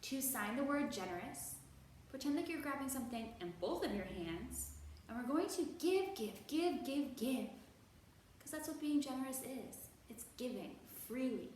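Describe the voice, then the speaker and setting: Echoey, higher-voiced woman hosting children's storytime. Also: expressive, darkish tone. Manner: encouraging, exaggerated slow enunciation of key words. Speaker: children's library storytime host. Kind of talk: children's storytime teaching, talking to young viewers. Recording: very echoey room, distant mic, faint noise.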